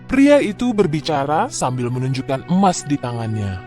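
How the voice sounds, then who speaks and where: A man narrating a scripted children's fairy tale in an expressive, dramatic way. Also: fairly high pitch, moderate pace. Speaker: storyteller. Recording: music behind the voice.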